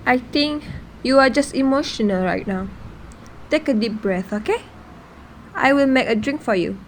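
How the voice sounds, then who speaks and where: Woman acting a high-voiced, supportive friend. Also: expressive, moderate pace, slight background noise. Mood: soothing. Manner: soothing, advising. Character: concerned, steadying best friend, a supportive young woman. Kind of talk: amateur radio drama acting.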